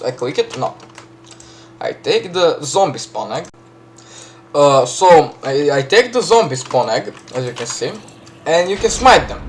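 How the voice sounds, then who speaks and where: A man with a Serbian accent casually walking through a game map he built, chatty, full of fillers and quick self-corrections. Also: on the high side, slight background noise. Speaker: map creator giving a tour. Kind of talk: casual gameplay walkthrough.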